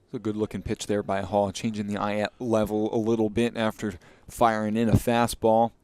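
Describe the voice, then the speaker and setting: A man's voice: fairly low pitch, completely flat delivery, moderate pace, a little mellow, smooth, no echo. A man giving analysis as a color commentator on a live baseball broadcast.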